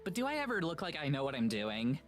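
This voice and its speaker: A woman playing a gentle, self-doubting companion who soothes, in a visual novel. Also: deep voice, wildly swooping pitch, fast talker. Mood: gentle concern. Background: game music.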